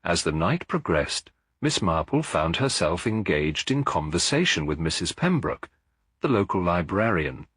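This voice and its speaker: A man reading a cozy mystery story as a scripted audiobook, in a measured, literary storytelling manner. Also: deep voice, sing-song, a bit bright, breathy edge, no room sound, clean studio sound. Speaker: narrator.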